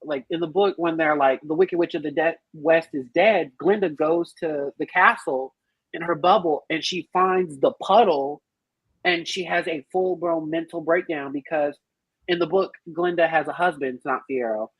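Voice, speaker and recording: A woman's voice: deep voice, normal speed, breathy edge, no room sound, highs cut off. A woman speaking casually and emphatically as a podcast panelist in a group review, explaining things in detail. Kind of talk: casual group chat, film and musical review.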